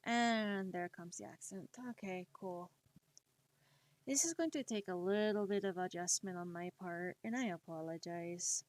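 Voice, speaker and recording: Slow, gentle woman streamer. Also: fairly low pitch. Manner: slow, soft-spoken, encouraging, laughs often. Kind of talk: casual chat while drawing on stream.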